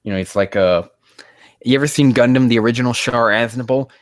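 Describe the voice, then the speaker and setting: A man's voice: medium pitch, brisk, no echo, clean studio sound. Exasperated man riffing on amateur writing. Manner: exasperated, sweary, rambling. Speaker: host reading and critiquing amateur fan fiction. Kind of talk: casual read-aloud with mocking commentary.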